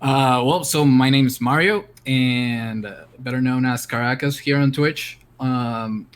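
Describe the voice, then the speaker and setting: A man's voice: medium pitch, a little slow. A young man from Venezuela, a game design student speaking hesitantly as a stream guest. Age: young adult.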